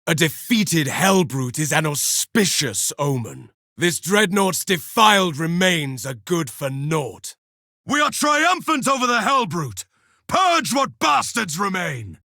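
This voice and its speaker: A man's voice: high voice, sing-song, takes it slowly, bright, forceful tone, no room sound, clean studio sound, exaggerated voice. An actor playing a grim, pious armored male super-soldier, declaiming solemn insults over slain foes in a video game. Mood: grim contempt. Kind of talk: video game combat voice lines.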